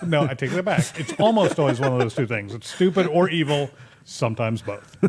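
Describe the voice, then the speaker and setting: A man's voice: medium pitch, expressive, fairly quick, a little mellow, husky. Sarcastic man hosting a talk podcast. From Massachusetts. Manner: sarcastic, joking, with frequent restarts. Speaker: podcast host. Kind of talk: casual political chat.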